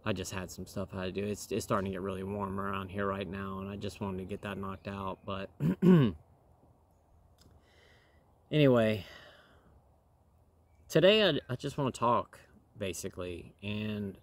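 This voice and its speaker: A man in his thirties, slightly hoarse from dust, rambling earnestly to the camera outdoors with many fillers and clearing his throat. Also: low-pitched, moderate pace, pauses often. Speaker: vlogger. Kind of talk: casual talk to camera. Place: outdoors in a wooded yard. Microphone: camera in front at close range.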